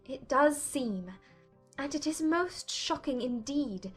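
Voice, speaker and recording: A woman acts an anxious young lady of a period novel, her voice high and earnest. She questions emphatically and sounds distressed and sceptical, with music behind her. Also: expressive, slow pace. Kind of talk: dramatized audiobook acting of a period novel.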